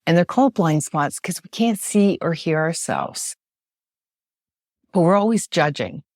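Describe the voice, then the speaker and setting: A woman with a naturally loud voice, explaining communication ideas as a guest in an emphatic, animated way, often checking in with "right?". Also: on the low side, a little slow, dry recording, clean studio sound. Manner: emphatic, animated, frequent tag question "right?". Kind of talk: podcast interview, explaining with examples.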